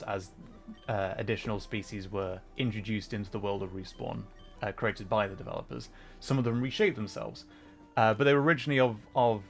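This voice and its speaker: A man hosting a gaming livestream, chatting casually and musing aloud in a halting way. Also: on the low side. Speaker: livestream host.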